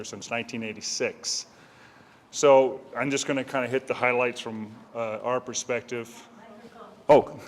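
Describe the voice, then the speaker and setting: A middle-aged man at a community meeting, talking in a measured way with many fillers and restarts. His voice sits at an ordinary pitch for a man. The room adds a long, echoing reverb. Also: fairly bright tone, slight background noise. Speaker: commercial real estate broker. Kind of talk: public comment presentation. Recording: speaking in a hall with long reverb.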